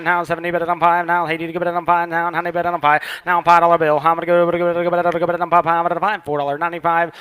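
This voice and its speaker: Guy auctioneering cattle super fast, bright crisp voice, flat sing-song chant. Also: fairly high pitch. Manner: rhythmic rolling chant of bids, filler words repeated. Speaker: livestock auctioneer.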